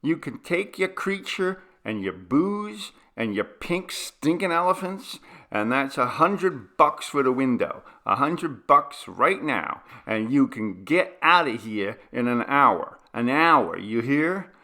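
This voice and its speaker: A male storyteller acts out an angry rent collector from a horror tale. The voice is pushed up and forceful, with a gravelly edge. He barks coarse, indignant demands. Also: medium pitch, big pitch swings, slow pace, hardly any pauses, voice pushed up, heavily acted. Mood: fearful, hostile. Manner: shouted, barking abuse and demands.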